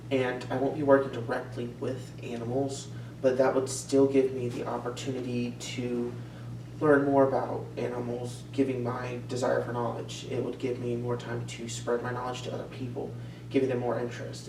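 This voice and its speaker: A young man answering questions in a mock interview, earnest and steady, with occasional restarts. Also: mid-range voice. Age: young adult. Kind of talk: prepared answers in a practice interview. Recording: echoey room, far from mic, humming noise.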